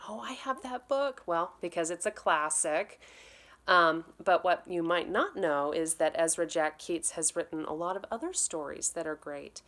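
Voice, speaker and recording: A woman teacher reading a story aloud to young children, speaking to them directly in a gentle, expressive way. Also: sits low.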